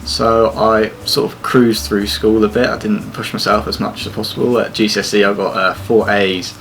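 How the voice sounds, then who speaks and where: A young man with an English accent, an apprentice talking casually about his routine and studies, with a relaxed, hesitant manner. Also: on the low side, moderate pace, a little hiss or hum, low-quality recording. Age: young adult. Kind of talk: casual interview answers.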